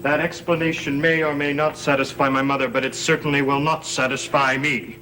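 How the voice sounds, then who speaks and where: An actor playing an insolent, scheming young heir in a gothic television soap, smug, formal and pointed. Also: male voice, on the high side, little pitch movement, brisk, pushed and bright, a little hiss or hum, poor audio. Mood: smug, needling. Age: young adult.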